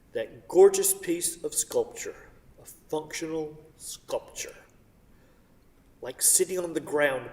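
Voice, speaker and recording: A man's voice: a little high, darkish tone. Man acting an insistent, wistful buyer in a distant hall. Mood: effusive. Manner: earnest, effusive, insistent. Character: wealthy, insistent, wistful divorced male art buyer. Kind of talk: staged play reading. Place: theatre stage. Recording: echoey hall, distant microphone.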